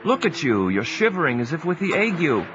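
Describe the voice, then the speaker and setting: A worried, caring friend with a lively, imploring voice. He sounds a little higher than most men. The audio is dull and lo-fi, with music underneath. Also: a little fast, background noise. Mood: concerned. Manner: urgent and imploring.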